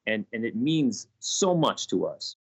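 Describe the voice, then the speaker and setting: A man answering in an interview as a spokesman, haltingly, repeating words mid-phrase. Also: medium pitch, big pitch swings. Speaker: union spokesman. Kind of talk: interview response.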